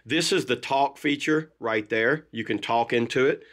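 Middle aged guy doing a casual unboxing review, regular pitch, folksy informal talk. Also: normal speed, fairly bright tone. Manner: informal, folksy phrasing with "y'all" and "gonna". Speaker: gear reviewer, host. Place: indoors at a desk.

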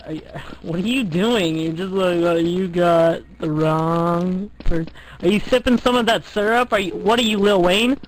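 High-pitched young man, crude and teasing. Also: moderate pace, slight background noise, rough recording. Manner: slangy, teasing, profane. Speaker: prank caller. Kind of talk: casual, crude prank phone chat.

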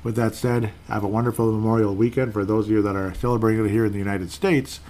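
A man with a lowish voice hosts a casual solo podcast into a home mic. He talks in an easygoing, rambling way, corrects himself as he goes, and reads out listener comments. Faint noise sits behind him. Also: steady tone, fast talker, darkish tone. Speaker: podcast host.

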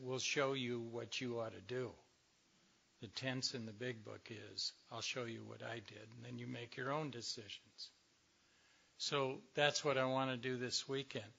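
An older man, a little hoarse, giving a slow, reflective talk to a recovery meeting with many pauses. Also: medium pitch, sharp tone. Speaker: speaker at a recovery workshop.

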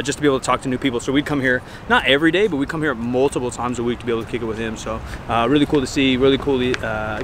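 A man's voice: medium pitch, fairly bright tone, slight background noise. A man in his thirties from Northern California reviews fair food in a casual, jokey vlog, swearing now and then, filmed outdoors on a camera mic held close. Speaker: vlogger. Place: outdoor state fair, crowd and rides around.

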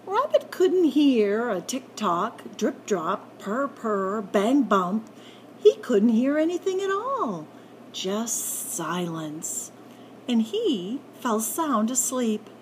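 A woman reading a picture book aloud to children in an animated, playful way, drawing out the sound words. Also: fairly high pitch, slow, slight background noise, poor audio. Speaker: library story time reader.

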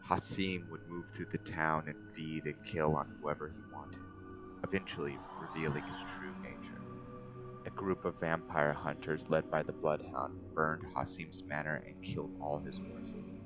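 A man's voice: rumbling low, level tone, normal speed. A man narrating a dramatic scripted story. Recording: music behind the voice. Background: music.

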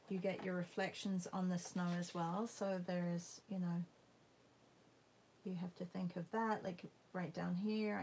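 Gravelly-voiced woman musing as she paints. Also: lowish voice, a little mellow, a little hiss or hum, rough recording. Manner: halting, musing, murmurs to self while working. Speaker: art demonstrator. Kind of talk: live painting demo, casual thinking aloud. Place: indoors at a painting table.

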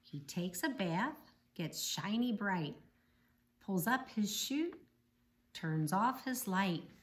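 A middle-aged woman reading a bedtime picture book aloud in measured, rhythmic verse. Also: mid-range voice, lively intonation. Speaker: volunteer story reader. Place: small living room, indoors on a sofa.

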